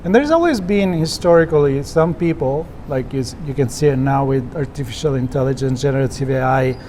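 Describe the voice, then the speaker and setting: A man's voice: mid-range voice, fairly quick, darkish tone, a little hiss or hum. A man hosting a podcast interview, asking probing questions and adding reflective asides. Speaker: podcast host. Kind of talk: conversational interview. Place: busy conference venue.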